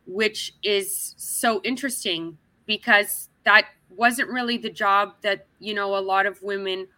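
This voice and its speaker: Bright, upbeat woman guest. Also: mid-range voice, steady tone, measured pace, no echo. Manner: chatty and upbeat, with frequent restarts and laughs. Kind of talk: casual interview chat.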